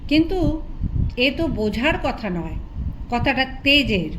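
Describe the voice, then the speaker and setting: A woman reading a literary short story aloud as an audiobook narrator, in an expressive storytelling manner. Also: high voice, takes it slowly, background noise.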